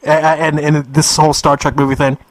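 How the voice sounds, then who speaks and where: High-voiced playful male radio host. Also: little pitch movement, fairly quick, no room sound. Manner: upbeat, playful, laughs easily. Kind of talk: radio talk show interview.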